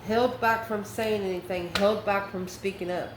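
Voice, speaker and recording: A woman of medium pitch gives an off-the-cuff tarot reading to her viewers. She talks conversationally, in short phrases, as if working out each card aloud. The room echoes around her voice, with faint noise underneath. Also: fairly even intonation, normal speed. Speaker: tarot reader, channel host. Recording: roomy echo, faint background noise.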